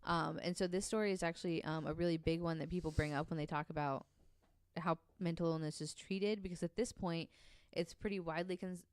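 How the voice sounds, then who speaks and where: A woman's voice: fairly low pitch, fairly even intonation, a little fast, smooth, dry recording. A woman co-hosting a podcast, telling a long story in a casual way, with many ums. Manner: narrates at length with frequent ums, reads quotes aloud.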